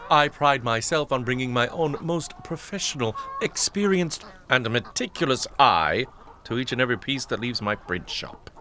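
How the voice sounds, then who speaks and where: An actor playing a pompous, weary, henpecked Puritan husband and printer in a comic audio drama. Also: male voice, medium pitch, expressive, moderate pace, bright, forceful tone, slight background noise. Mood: pompous, affronted. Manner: pompous, dry, grumbling.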